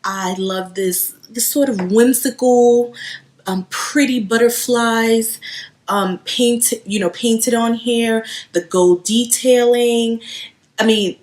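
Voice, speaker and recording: A woman's voice: fairly high pitch, fairly even intonation, slow, darkish tone. A woman in her late thirties, originally from Haiti and not a native English speaker, chatting enthusiastically to viewers while showing off her thrift store finds. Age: 30s. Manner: chatty, enthusiastic, addresses viewers as you guys. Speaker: home decor vlogger. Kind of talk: casual thrift haul show-and-tell.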